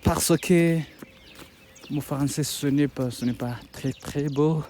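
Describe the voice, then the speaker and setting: Halting Rwandan-accented man, raised pitch. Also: a little mellow, slight background noise. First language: Kinyarwanda. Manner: halting, with many restarts and hesitations, pleading. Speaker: YouTube content creator. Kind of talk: casual vlog talk to viewers. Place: outdoors by a road with passing buses.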